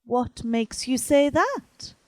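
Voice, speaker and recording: Lady doing a kindly but bossy older madame, formal, mellow, swoopy pitch, audio drama. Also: sing-song, takes it slowly, no room sound, studio quality, full character voice. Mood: brisk, resolved.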